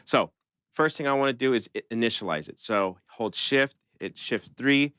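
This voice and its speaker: A man's voice: medium pitch, normal speed, a bit bright, smooth, no echo, studio quality. A man hosting a synthesizer tutorial, talking in an informal, conversational way as he explains things step by step. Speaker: host of a synth tutorial channel. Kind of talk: casual step-by-step music tutorial.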